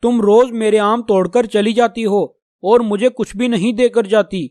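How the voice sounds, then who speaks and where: A man's voice: sits high, steady tone, normal speed, darkish tone, no echo, clean studio sound, lightly acted. A man playing a proud, grudging talking mango tree in a children's cartoon, speaking emphatically. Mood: grudging, stern.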